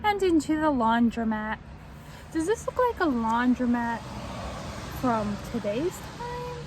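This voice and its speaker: A young woman reading a children's book aloud in a deliberate, expressive way, explaining and asking the listeners questions. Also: a little high. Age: young adult. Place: outdoors on a front step by a house door. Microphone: camera at a short distance. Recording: faint outdoor street noise.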